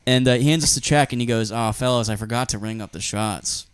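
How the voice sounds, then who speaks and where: A young man tells a loose, rambling story and keeps slipping into voices for the people in it. He speaks at an ordinary middle pitch. The sound is close and dry, with no room around it. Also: quick pace, clean tone, dry recording. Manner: rambling storyteller, loose and profane, acts out quotes. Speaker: podcast co-host. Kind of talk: casual comedic chat.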